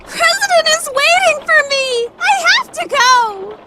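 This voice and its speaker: Casting: haughty, formal anime schoolgirl, easily flustered, very high-pitched and animated. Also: takes it slowly, very noisy, exaggerated voice. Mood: anxious, determined. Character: proud, flustered rich teenage girl in love denial. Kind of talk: anime fandub radio play acting.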